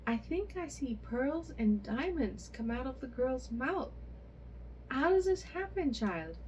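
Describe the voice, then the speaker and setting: A woman voicing a greedy, excitable middle-aged mother with loud, shrill exclamations. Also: takes it slowly, slight background noise, exaggerated voice, rough recording. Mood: shrill excited.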